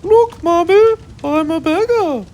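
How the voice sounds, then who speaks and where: A man voicing a cheeky nine-year-old boy in a storybook, in a squeaky falsetto. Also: expressive, takes it slowly, darkish tone, full character voice. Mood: gleeful.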